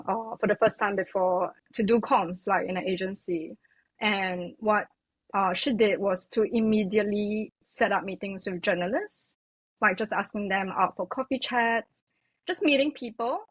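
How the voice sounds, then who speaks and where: A woman talks casually as a podcast guest, her voice slightly husky. She chats loosely, sprinkling in "like" and "you know". The sound is dry and close. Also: medium pitch, normal speed, no echo, low-quality recording. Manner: chatty, many fillers like "like" and "you know". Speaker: podcast guest, communications consultant. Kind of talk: casual interview chat.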